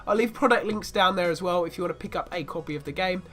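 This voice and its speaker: Guy reviewing a game with a stuffy cold, easygoing chatty delivery, bit of quiet music under him. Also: sits high, quick pace. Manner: chatty, leans on repeated "really, really". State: congested with a bad cold. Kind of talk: casual spoken review commentary.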